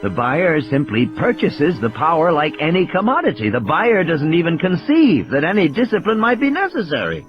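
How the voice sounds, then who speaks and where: A man's voice: fairly high pitch, huge pitch swings, moderate pace, few pauses, dull audio, faint music behind, pitched above the performer's own voice. A man playing an intense male mathematician who lectures in an emphatic, scornful way, in an audiobook drama. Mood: scornful, impassioned. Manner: emphatic, didactic.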